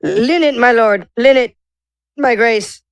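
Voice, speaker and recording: A woman playing an eager, earthy young scullery maid who talks in a chatty, halting way in a tabletop roleplay. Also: a little high. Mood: nervous, deferential.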